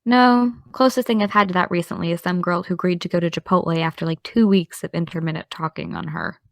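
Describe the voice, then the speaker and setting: A woman playing a caring, gently teasing young woman in an audio drama, speaking in a casual, slightly tentative way. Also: on the low side, fairly even intonation, fast talker, vocal fry, no room sound, studio quality. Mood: gently candid. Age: young adult. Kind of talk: naturalistic audio drama dialogue.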